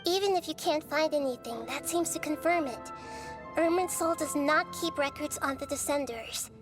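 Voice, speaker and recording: A woman's voice: high-pitched, moderate pace, music in the background, natural voice. A woman voicing a small, childlike female deity in a video game, wise, composed and formal in manner. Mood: calm, explanatory. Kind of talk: video game character acting.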